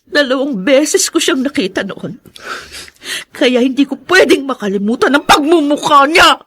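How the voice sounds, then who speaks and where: A woman's voice: sits high, animated, normal speed, a bit bright. A woman acting in a radio drama as an embittered, grieving young wife who speaks with intense, accusing emphasis. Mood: furious, anguished. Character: bitter grieving young wife, unforgiving of her father-in-law.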